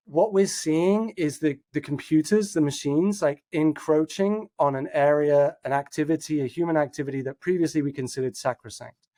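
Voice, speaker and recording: A man's voice: fairly high pitch, a little mellow. A man speaking as a guest in a podcast interview, who explains his views earnestly and thoughtfully with frequent fillers and restarts. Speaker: guest, innovation executive. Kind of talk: casual interview chat.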